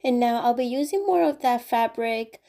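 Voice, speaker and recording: A woman's voice: on the high side, lively intonation, moderate pace, gravelly, loud music behind the voice. A woman hosting a craft channel, cheerfully talking through each step in a voice-over while getting over a cold. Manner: cheerful, chatty step-by-step explaining. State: recovering from a cold.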